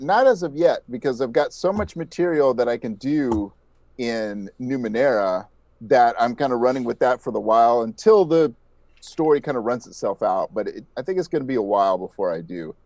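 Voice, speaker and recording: A man's voice: medium pitch, a little mellow, no echo. A man talking casually as an interviewed podcast creator.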